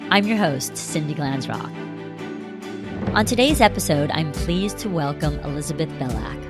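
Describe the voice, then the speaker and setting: An American woman hosting a podcast, reading a scripted introduction and then gently questioning her guest. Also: low voice, lively intonation, normal speed, over music. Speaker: podcast host.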